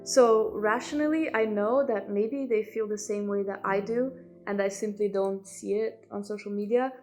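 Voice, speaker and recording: A woman's voice: mid-range voice, fairly even intonation, moderate pace, a little mellow, faint music behind. A young woman from Italy, a native speaker of Italian and German, reflecting in a documentary interview as an international student. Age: young adult.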